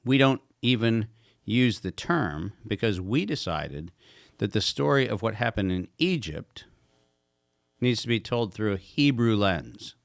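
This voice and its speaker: A man's voice: on the low side, lively intonation, measured pace, vocal fry, no echo, studio quality. A man speaking as a guest expert in a podcast conversation, talking emphatically and posing rhetorical questions.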